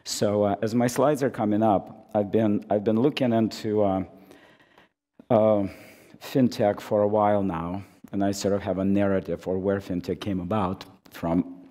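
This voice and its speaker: A hoarse man who is losing his voice gives an academic conference talk, halting with many fillers and restarts, amplified through a microphone. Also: on the low side, steady tone, warm tone. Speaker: conference speaker. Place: lecture hall. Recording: reverberant lecture hall.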